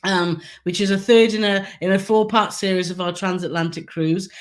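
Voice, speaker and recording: A woman with a hoarse, croaky throat co-hosting a casual live Q&A, chatty and giggly as she reads out viewer comments. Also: lowish voice, fairly even intonation, moderate pace. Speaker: co-host of a travel channel live stream.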